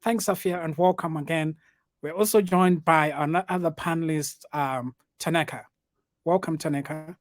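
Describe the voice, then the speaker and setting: A man's voice: a little high, moderate pace, dry recording, clean studio sound. A man from Zimbabwe in his forties, moderating a business panel in a formal, welcoming way. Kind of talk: hosting a panel discussion.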